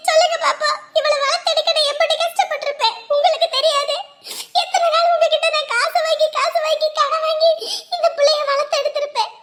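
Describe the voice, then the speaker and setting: Brief: woman playing a high, piercing middle-aged fish-seller mother, loud and complaining. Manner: loud, shrill, complaining rants. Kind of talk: one-person family comedy skit.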